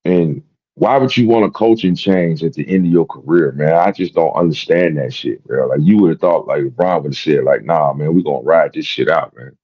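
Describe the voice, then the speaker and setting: A man's voice: a bass voice, brisk, darkish tone, husky, muffled recording. A man hosting a sports talk show, rambling and repeating himself in casual, profane commentary. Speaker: sports podcast host.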